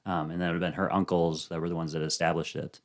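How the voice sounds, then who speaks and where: A man in his thirties, a podcast guest chatting casually and softly, with frequent ums and uhs. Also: sits low, flat delivery, quick pace. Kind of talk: casual interview chat.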